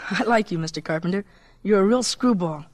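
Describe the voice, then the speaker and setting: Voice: mid-range voice, normal speed, very noisy, close to an everyday voice. A young American boy as an actor plays him, chatty and candid. He talks with artless gee-whiz eagerness and curiosity, in radio drama style. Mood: excited, wondering.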